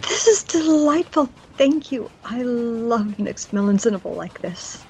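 A woman's voice: a little high, expressive, unhurried, darkish tone, slight background noise. A woman playing a polite, puzzled young girlfriend in an audio fantasy drama. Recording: slightly dull, lo-fi sound.

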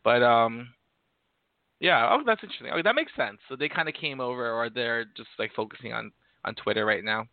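A man's voice: mid-range voice, expressive, a little fast, dry recording. A man in his thirties, co-hosting a podcast in casual chat, playful and campy with laughing asides. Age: 30s. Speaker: podcast co-host. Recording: tinny phone-like call audio, dry.

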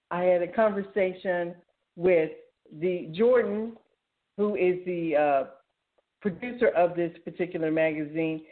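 A woman hosting a show, talking informally and enthusiastically as she walks listeners through announcements in a conversational way. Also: mid-range voice. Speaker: radio show host. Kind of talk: informal solo talk, announcing articles and events. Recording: thin, phone-like narrow-band sound.

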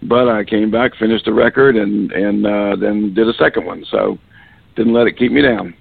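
A middle-aged man speaks with a raspy, gravelly voice that sits low. He talks as a guest in a loose, rambling way, full of fillers. The sound comes dry and tinny down a phone line. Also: moderate pace, dry recording. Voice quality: raspy voice after illness. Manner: relaxed, rambling, many fillers. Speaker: musician guest. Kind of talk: phone interview on radio.

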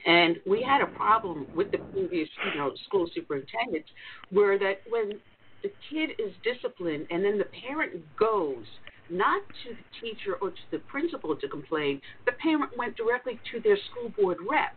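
A woman's voice: medium pitch, sing-song, moderate pace. A woman hosting a conservative talk radio show, talking forthrightly and emphatically with her guests. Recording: narrow phone-like line with faint noise.

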